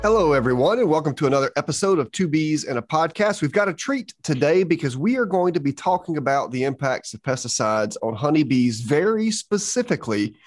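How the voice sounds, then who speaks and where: A man's voice: a little high, sing-song, brisk, few pauses, soft background music. A man hosting an interview podcast, upbeat and enthusiastic. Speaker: podcast host.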